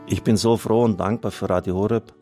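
A deep-voiced priest at the microphone of a religious radio fundraiser, earnestly reading out listeners' letters while a guitar plays faintly underneath. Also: male voice, steady tone, brisk, mellow. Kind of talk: reading out listener testimonials and appealing for donations on air.

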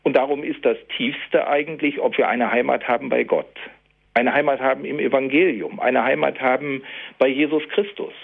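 Gravelly-voiced man preaching on the radio. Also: mid-range voice. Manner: calm, reflective preaching, slows down to stress words. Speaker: Protestant pastor. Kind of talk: radio sermon. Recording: tinny phone-like radio broadcast.

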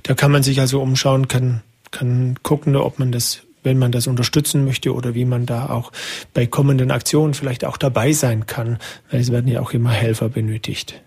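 A man's voice: medium pitch, level tone, brisk, few pauses, darkish tone, husky. A man hosting a radio interview, asking his guest questions in a conversational way. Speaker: radio host.

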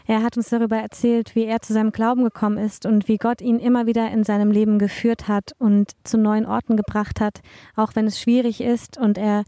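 A woman's voice: a little high, little pitch movement, a little fast, mellow, no room sound, clean studio sound. A woman presenting a radio programme, introducing segments to listeners.

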